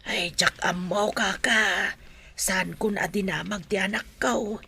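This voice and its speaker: Creaky village mother acted by a woman. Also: medium pitch, steady tone, slow, pushed and bright, full character voice. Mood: subdued. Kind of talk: Ilocano radio drama acting.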